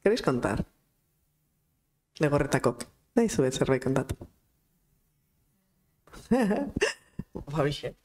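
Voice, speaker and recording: A woman's voice: sits low, very animated, slow, long pauses, darkish tone. A woman who works as a grief therapist, speaking casually into a table mic in a conference room to invite questions from the audience. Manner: informal, chatty, laughs easily. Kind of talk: casual talk moderating discussion. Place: conference room of a cultural centre. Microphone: table microphone.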